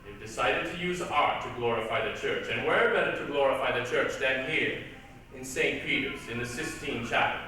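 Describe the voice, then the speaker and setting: An imperious prince of the church played by a man hands down orders in a mid-pitched, animated voice. He is pompous, impatient and clipped, in the manner of stage drama. The sound is distant and very echoey, with faint noise. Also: normal speed, natural voice. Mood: stately command. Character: imperious, impatient high churchman commanding an artist. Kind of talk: theatrical readers' theatre dialogue. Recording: echoey hall, distant mic, hissy old tape.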